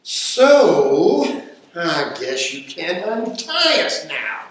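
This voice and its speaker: Heard off mic in a very echoey room, a man plays a put-upon spy dad. He speaks very slowly with swooping pitch, hesitant and indignant, in amateur radio drama style. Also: sing-song, fairly bright tone, recorded from a distance, a little hiss or hum, heavily acted. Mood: sheepish. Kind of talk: amateur radio play acting.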